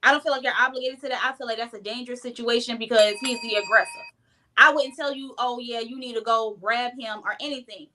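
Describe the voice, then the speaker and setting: Woman, high bright voice, insistent and reasoning, faint background noise. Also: steady tone, fast talker, rough recording. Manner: insistent, reasoning, acts out what she would say. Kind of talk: casual group discussion.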